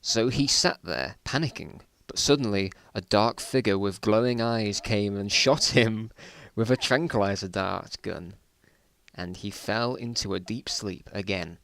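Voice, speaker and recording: A man's voice: fairly low pitch, unhurried, vocal fry, fairly bright tone. A man reading his own old stories aloud in a casual dramatic style, with self-mocking, bemused asides.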